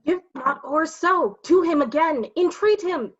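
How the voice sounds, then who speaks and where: A woman's voice: sits high, animated, a little slow. A woman playing a sly male onlooker who urges and coaches in conspiratorial asides, in a staged Shakespeare reading, on dull audio. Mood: urging.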